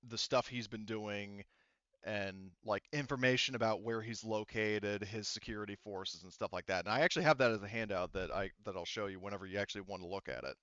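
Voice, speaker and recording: A man improvising tabletop game narration as game master, often halting and rephrasing mid-sentence. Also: on the low side, fairly bright tone, clear, resonant tone, no room sound, dull audio.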